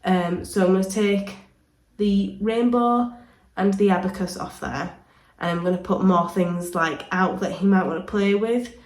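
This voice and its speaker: A woman's voice: medium pitch, steady tone, unhurried, darkish tone. Chatty woman with a cold. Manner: chatty, rambling with frequent ums. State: full of cold, sore throat. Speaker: vlogger. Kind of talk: casual home vlog. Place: indoors, home playroom. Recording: echoey room.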